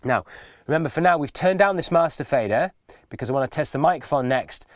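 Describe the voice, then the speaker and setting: A man's voice: fairly high pitch, animated, fast, no room sound. Man giving a casual tutorial, dry sound. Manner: informal, patient step-by-step explaining. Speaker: instructor. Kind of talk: casual spoken hands-on tutorial.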